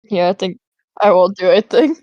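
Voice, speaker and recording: A woman's voice: fairly low pitch, animated, normal speed, highs cut off. A woman playing a tabletop game and chatting casually with the others. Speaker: player in a tabletop game podcast. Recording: music clearly under the voice. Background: background music.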